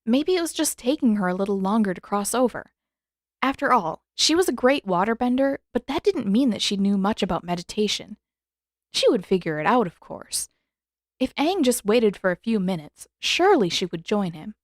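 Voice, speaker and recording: A woman narrates a story in a medium-pitched voice. It is scripted audiobook reading, recorded dry with very little room sound. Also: sing-song, moderate pace, dry recording. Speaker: narrator and author reading fan fiction.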